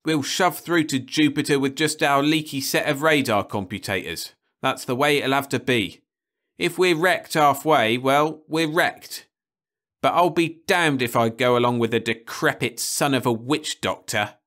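A man's voice: mid-range voice, measured pace, fairly bright tone, pitched above the performer's own voice, close to an everyday voice. A man reading aloud, voicing a surly, cold male ship's mechanic who dismisses others with contempt. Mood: contemptuous.

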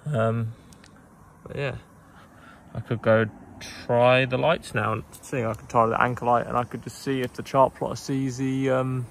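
Young man, gravelly mid-pitched voice, easygoing boat-fixing vlogger, rambly and unscripted, outdoor action-cam sound. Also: normal speed, slight background noise. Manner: relaxed, rambling, with frequent ums and self-corrections. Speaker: sailing vlogger. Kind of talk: casual how-to narration while working. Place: on a small sailboat outdoors.

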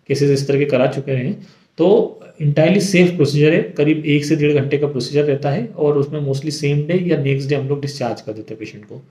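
A man in his thirties, a plastic surgeon, calmly explaining to the camera with frequent pauses and fillers. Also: fairly high pitch, reverberant. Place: indoor room. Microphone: camera at close range.

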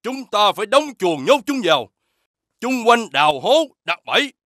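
A man voicing a commanding, careful village chief who instructs urgently in an animated fairy tale. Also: high-pitched, normal speed, dry recording, clean studio sound.

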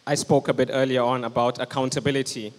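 A man's voice: medium pitch, level tone, quick pace. Young man preaching in a reverberant hall. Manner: earnest, urgent preaching, standing with a handheld mic. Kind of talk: sermon. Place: church stage with band instruments, indoors. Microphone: handheld microphone.

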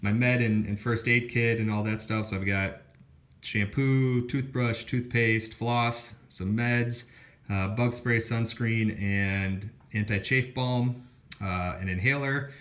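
Young guy going thru his hiking gear at home, kinda low voice, lots of uhs and ums. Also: measured pace, a bit bright. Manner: casual listing with many fillers and restarts. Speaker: hiker showing his gear. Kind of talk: casual gear walkthrough voice-over. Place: indoors at home, filming gear on a floor.

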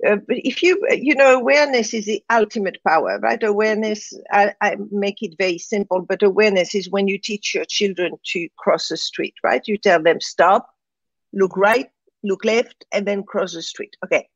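A woman's voice: mid-range voice, moderate pace, husky, no room sound, muffled recording. A woman with a French accent, speaking reflectively as a guest in an interview and often checking in with "right?". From France, raised in Morocco. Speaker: guest, transformation coach.

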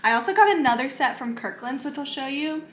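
A woman's voice: sits high, expressive, fairly quick, a bit bright, a little hiss or hum. A woman in her twenties chatting casually through a shopping haul in her home kitchen, bubbly and full of "like" fillers. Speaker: lifestyle vlogger. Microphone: camera in front, medium close framing. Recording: echoey kitchen.

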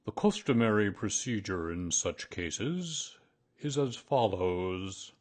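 A man voicing a pompous, long-winded owl in a children's story, with a ponderous, drawn-out delivery. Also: fairly low pitch, lively intonation. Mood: pompous, instructive.

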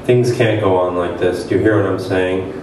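Low-voiced man reading in an echoey hall. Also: steady tone, moderate pace. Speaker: reader at a literary event. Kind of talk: dramatic reading of satirical fiction. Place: stage of a small event room with an audience. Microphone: table microphone on a stand. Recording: very echoey hall, distant mic, noisy.

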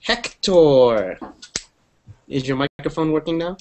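A man's voice: fairly high pitch, expressive, slow, a little mellow, a little hiss or hum. Upbeat young male online teacher. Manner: upbeat, encouraging, repeats "cool". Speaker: English teacher. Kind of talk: online group class. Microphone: earphone mic on a video call.

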